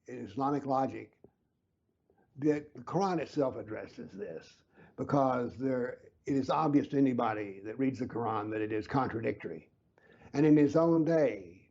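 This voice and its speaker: A man being interviewed who explains his views earnestly and emphatically, sometimes dropping to a low whisper to stress a point. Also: mellow, muffled recording. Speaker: interview guest, author.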